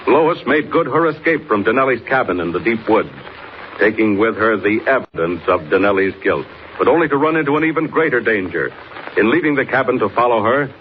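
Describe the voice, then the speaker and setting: A man's voice: on the low side, natural voice. A man narrating a 1940s adventure serial on radio in a dramatic, formal storytelling style. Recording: muffled, slightly noisy 1940s radio recording.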